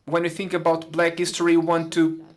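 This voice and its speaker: A woman's voice: lowish voice, monotone, brisk, a little mellow. A woman, a playwright speaking earnestly on a conference panel and repeating words for emphasis. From Portugal.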